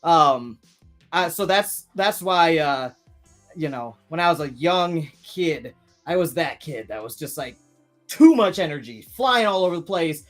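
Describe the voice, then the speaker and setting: A man's voice: fairly high pitch, expressive, unhurried, a bit bright, faint music behind. A man in his thirties hosting a live stream, chatting casually and rambling with many restarts while reading viewer comments. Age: 30s.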